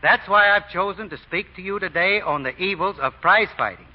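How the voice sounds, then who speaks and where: A man's voice: high voice, fairly quick. A man playing a sly, folksy fake deacon in 1940s radio acting, drawling homespun sayings. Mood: orating. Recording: dull lo-fi old radio broadcast.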